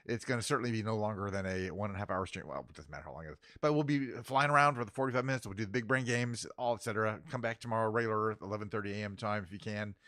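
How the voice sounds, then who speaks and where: A man's voice: fairly low pitch, rapid-fire, hardly any pauses, bright, forceful tone, dry recording, clean studio sound. A middle-aged man hosting his own livestream, chatting casually as he signs off, rambling and correcting himself, his voice a little worn. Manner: rambling, self-correcting, muttered asides. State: voice a bit worn from travel. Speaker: livestream host. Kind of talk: casual stream sign-off chat.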